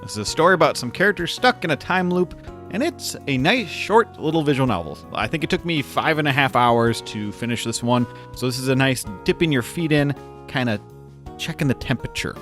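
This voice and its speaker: Male video host, mid-pitched, informal and lightly joking game commentary, music underneath. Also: animated, brisk, a bit bright. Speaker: video host reviewing games. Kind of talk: casual scripted video commentary. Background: background music.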